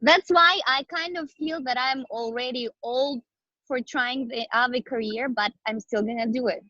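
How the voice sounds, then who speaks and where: A woman's voice: high voice, moderate pace, bright, forceful tone, dry recording, dull audio. A bubbly woman chatting casually as an interview guest, a professional dancer whose native language may be Romanian. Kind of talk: casual chat.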